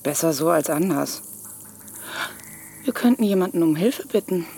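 A woman's voice: on the low side, animated, normal speed, gravelly, dark tone, a little hiss or hum. An actress playing a wary, probing woman in an audio drama, given to pointed questions. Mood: wary, concerned. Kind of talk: German audio drama acting.

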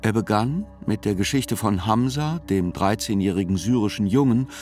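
Radio play narrator: actor as observant male court reporter, low register, measured literary delivery over soft music. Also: lightly acted. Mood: measured, reflective. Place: studio.

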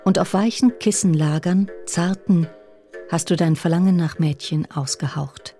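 A woman's voice: fairly low pitch, normal speed, dark tone, close to an everyday voice. A woman reciting as a tender, sensual ancient love poet, with plucked string music behind her. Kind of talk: poetry recitation.

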